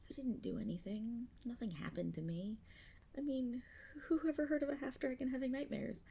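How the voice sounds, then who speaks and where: A woman voicing a timid young half-dragon rogue in an intimate ASMR roleplay, breathy and hesitant, anxious but proud, stammering and rambling. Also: a little high, normal speed, dark tone, slight background noise. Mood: defensive, flustered. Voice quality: breathy, often slipping toward a whisper. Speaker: roleplay voice performer.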